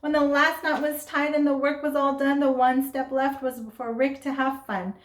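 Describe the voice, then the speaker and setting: A woman reading a children's story aloud in an expressive, dramatic way, with asides and questions to young listeners. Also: high voice, normal speed. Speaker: storybook reader. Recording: roomy, echoing sound.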